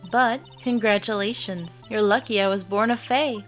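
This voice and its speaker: Female mentor spirit for a game, acted by a woman: composed, caring, medium pitch, measured delivery over soft music. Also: lightly acted. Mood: gentle, wistful. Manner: calm, measured, firm. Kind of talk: fandub voice acting of a visual novel game.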